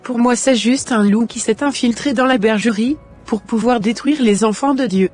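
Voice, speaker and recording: A woman's voice: on the high side, moderate pace, darkish tone, faint music behind, natural voice. A woman playing firm advising friend Hermine. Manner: firm, caring advice.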